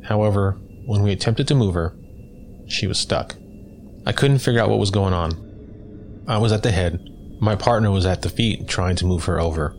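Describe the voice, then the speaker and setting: Low-voiced man narrating a horror story. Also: slight background noise. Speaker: guest story reader. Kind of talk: scripted first-person horror story narration.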